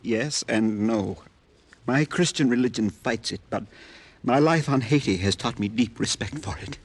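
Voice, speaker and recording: An actor playing an ailing, earnest farmer seeking help in a 1950s radio drama, halting, polite and pleading. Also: male voice, mid-range voice, breathy edge. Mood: earnest, troubled. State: weak and ailing, as acted.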